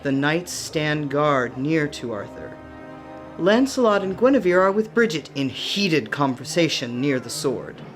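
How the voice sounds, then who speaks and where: A woman's voice: deep voice, big pitch swings, unhurried, soft background music. Woman narrating a fantasy radio play.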